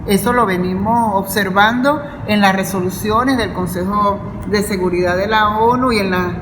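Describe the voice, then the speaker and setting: Female Venezuelan academic, earnest formal panel delivery, mid pitch, reverberant hall, clearly noisy recording. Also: moderate pace. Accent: Venezuelan Spanish. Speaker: university professor and academic vice-rector. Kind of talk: panel speech. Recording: echoey hall with loud background noise.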